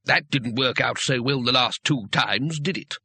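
A man's voice: medium pitch, unhurried, bright, forceful tone, slightly rough, no room sound, exaggerated voice. Man doing a huffy, sputtering wizard. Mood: indignant.

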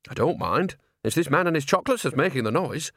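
A man's voice: on the high side, lively intonation, fast talker, a bit bright, no room sound, voice pushed up. Imperious old witch woman, read by a man, clipped delivery, dry booth. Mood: curt.

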